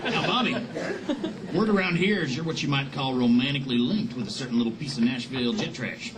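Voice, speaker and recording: An actor playing a crude, sardonic country show emcee with a folksy, hucksterish Southern drawl, in a live satirical interview sketch. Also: male voice, medium pitch, fairly quick, close to an everyday voice. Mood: sly prodding. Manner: hucksterish drawl, mock-friendly. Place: comedy club stage before an audience. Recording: noisy live club stage, loud crowd.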